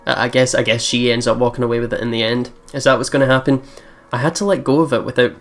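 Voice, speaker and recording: A let's play host talks casually through a visual novel, switching between reading narration and excitable commentary. The voice sits at a medium pitch, with faint game music behind it. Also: fast.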